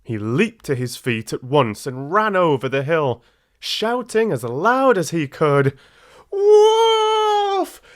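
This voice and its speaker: A man reading a storybook tale aloud as an audiobook narrator, with expressive, dramatic and deliberate delivery. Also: fairly high pitch, no echo, clean studio sound.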